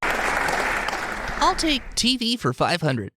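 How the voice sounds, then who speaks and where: A man playing a showy television quiz show host, announcing clues and rulings briskly. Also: very high-pitched, huge pitch swings, a loud crowd, very noisy, full character voice. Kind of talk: game show hosting parody.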